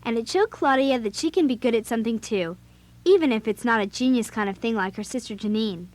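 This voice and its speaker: A woman playing an earnest, bossy young girl babysitter in an audio drama, with an expressive, youthful way of talking. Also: fairly high pitch, brisk, lightly acted. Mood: proud, reflective. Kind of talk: audio drama acting and first-person narration.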